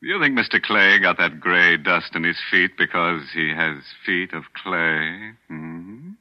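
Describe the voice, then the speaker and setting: An actor playing a ghoulish, punning horror show host, sardonic and tongue-in-cheek, in 1940s radio style. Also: male voice, low-pitched, a little slow. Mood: gleefully macabre. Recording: muffled old radio recording with music.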